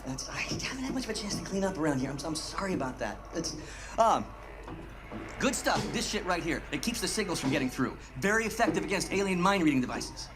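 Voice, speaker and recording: An actor playing a manic, foul-mouthed middle-aged American conspiracy theorist in a video game, rambling and excitable. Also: male voice, sits high, quick pace, over music. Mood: amused, wistful. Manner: rambling, excitable, sweary, emphatic. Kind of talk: video game cutscene acting.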